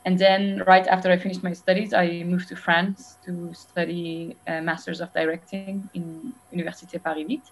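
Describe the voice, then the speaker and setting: Thoughtful Iranian woman filmmaker, low voice. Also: level tone, poor audio. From Iran. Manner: thoughtful, measured, frequent pauses and restarts. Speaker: documentary filmmaker guest. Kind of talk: reflective interview answers.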